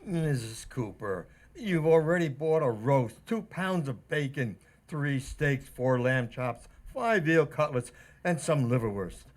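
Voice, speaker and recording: An actor playing a weary, wry butcher in an old-time radio comedy, with a dry, emphatic delivery. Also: male voice, medium pitch, lively intonation, takes it slowly. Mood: wry, exasperated. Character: weary, wry male butcher counting off purchases.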